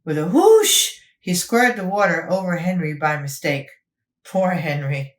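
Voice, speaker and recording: A woman's voice: on the low side, expressive, a little slow, roomy sound. A woman storyteller narrating a children's book expressively, as if for young listeners.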